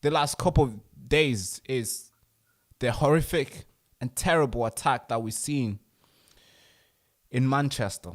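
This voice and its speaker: A man's voice: mid-range voice, a little slow, pauses often. A man hosting an online talk show, speaking in a loose, emphatic way and laughing at his own remarks. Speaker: host. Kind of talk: casual interview talk.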